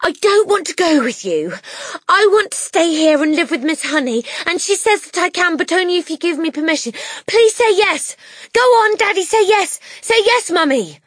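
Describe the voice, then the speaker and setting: A woman voicing an excitable, headstrong little girl who pleads in urgent, breathless bursts, in a storybook reading. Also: extremely high pitch, unhurried, dry recording, studio quality, voice pushed up, heavily acted. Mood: breathless pleading.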